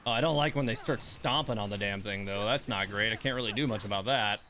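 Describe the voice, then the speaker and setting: A man's voice: lowish voice, quick pace, pushed and bright. A man giving casual livestream gaming commentary, musing as he talks his choices through to himself. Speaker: livestream gamer, host. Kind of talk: casual gameplay livestream commentary, thinking aloud.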